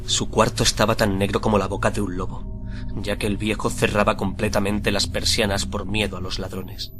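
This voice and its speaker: Man playing a low-voiced, obsessive murderous madman. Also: flat delivery, close to an everyday voice. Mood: hushed, sly. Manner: theatrical, deliberate, swelling from hushed to frenzied. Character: nervous, obsessive, murderous madman confessing his crime. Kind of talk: dramatic radio fiction monologue. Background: music under the voice.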